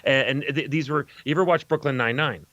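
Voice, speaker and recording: A man's voice: mid-range voice, fast talker, a bit bright, dry recording, highs cut off. A middle-aged man co-hosting a comedy show, telling rambling, exclamatory stories in casual chat. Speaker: co-host of a live comedy film-riffing show. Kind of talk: casual chat, comic storytelling.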